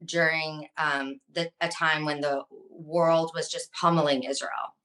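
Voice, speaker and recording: Woman, 30s, interview guest: low, bright voice, passionate, filler-heavy delivery. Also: flat delivery, unhurried. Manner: passionate, fluent, many ums. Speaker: guest, social media advocate. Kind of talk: earnest interview answers.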